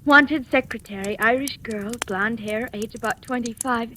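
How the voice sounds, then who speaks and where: A woman's voice: fairly high pitch, moderate pace, pushed and bright. An actress playing an earnest, timid woman in her twenties, hesitant and stammering, in 1940s radio style. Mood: eager, flustered. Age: 20s. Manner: hesitant, breathless stammering, eager. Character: earnest, timid young woman of twenty-five seeking work. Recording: noisy old 1940s radio recording.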